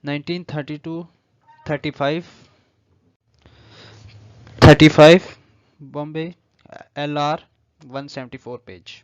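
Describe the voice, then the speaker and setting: A man with a Pakistani accent giving an explanatory law lecture, deliberately reading legal text, then repeating and rephrasing each point. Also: a little high, level tone, clear, resonant tone. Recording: echoey room, dull lo-fi audio.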